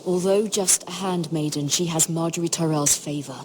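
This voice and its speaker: A dignified middle-aged noblewoman in a fantasy game, voiced by a woman. She speaks in a lowish voice, formal and measured, with grave, pleading worry. Faint noise sits behind her. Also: fairly even intonation, lightly acted. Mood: earnest. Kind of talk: dramatic video game acting.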